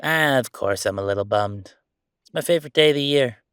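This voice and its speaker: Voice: medium pitch, lively intonation, fairly quick, fairly bright tone, clean tone, no echo, clean studio sound, natural voice. An actor playing a chatty, sardonic ghost roommate in a roleplay audio, talking in a casual, teasing, exclamatory way. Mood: wistful, easygoing. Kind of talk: roleplay audio acting, conversational.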